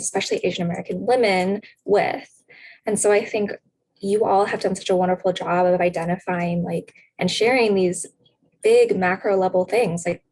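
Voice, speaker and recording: A woman's voice: medium pitch, a little mellow, low-quality recording. A woman moderating a webinar panel, summing up points in an affirming, articulate way and posing questions. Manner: affirming, articulate, checks in with "right".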